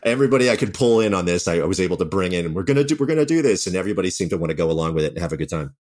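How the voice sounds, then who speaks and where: A man's voice: sits low, animated, racing, darkish tone. A man answering as an interview guest, a writer-director talking earnestly and conversationally, often doubling back on his words.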